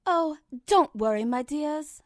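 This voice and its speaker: A man's voice: extremely high pitch, animated, slow, pitched above the performer's own voice, exaggerated voice. A man voicing a refined fashionista mare who speaks in a posh, fussy manner. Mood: prim.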